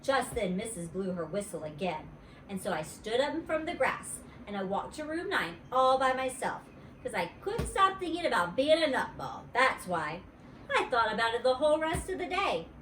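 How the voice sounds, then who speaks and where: A woman's voice: a little high, normal speed. A woman reading a children's story aloud expressively, in the first-person voice of a child. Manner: expressive, dramatizing dialogue. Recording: roomy echo, distant mic, faint noise.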